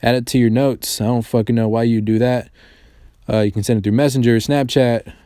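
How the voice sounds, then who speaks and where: Mellow, clear-voiced man giving casual tutorial. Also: medium pitch, fairly even intonation, a little fast, dry recording, clean studio sound. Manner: informal, profanity-laced, slangy. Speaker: tech tutorial host. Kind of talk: casual screen-recording tutorial voice-over.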